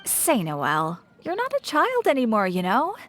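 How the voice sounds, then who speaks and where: An animated, teasing shopkeeper. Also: female voice, fairly high pitch, moderate pace, soft background music. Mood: friendly. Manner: teasing, chatty, knowing. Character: teasing, friendly adult woman shopkeeper. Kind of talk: anime video game voice acting.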